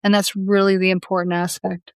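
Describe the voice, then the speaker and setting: A woman's voice: mid-range voice, level tone, brisk, clean tone, no room sound, clean studio sound. A woman, a past life hypnotherapist, answering interview questions in a rambling, conversational way with frequent restarts and self-corrections.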